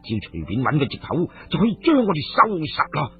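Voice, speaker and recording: A man voicing a grave, loyal elder sworn brother in a radio story, speaking in a measured, sombre way. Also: a little high, wildly swooping pitch, faint music behind. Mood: grim warning. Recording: muffled phone-like radio recording.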